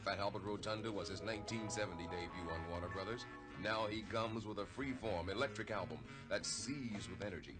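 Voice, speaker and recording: Low-voiced male radio ad announcer over music. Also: brisk. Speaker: advertising announcer. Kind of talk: scripted 1970s radio record advert narration. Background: loud music.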